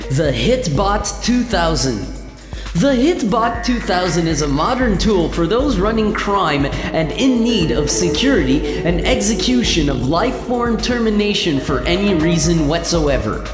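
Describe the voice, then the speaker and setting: A woman narrates a sci-fi radio play in a fairly low voice with animated pitch. The recording is echoey with long reverb, and music plays clearly behind her.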